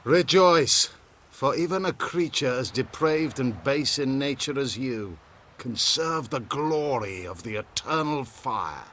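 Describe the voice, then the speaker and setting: An actor playing a pompous, self-righteous priest of a fire cult in a video game, preaching in a haughty, grandiose way. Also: male voice, medium pitch, expressive, takes it slowly, fairly bright tone, slight background noise. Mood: pompous, preachy. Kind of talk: video game character acting.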